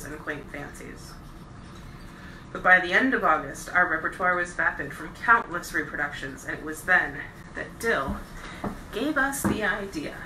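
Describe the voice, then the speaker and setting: A woman with a low, very bright and forceful voice reads literary fiction aloud. Her delivery is expressive and deliberate, leaning on key phrases. She sounds set back from the mic in an echoey room. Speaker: storyteller reading a novel aloud. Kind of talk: bedtime story reading, literary narration. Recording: roomy echo, distant mic, faint noise.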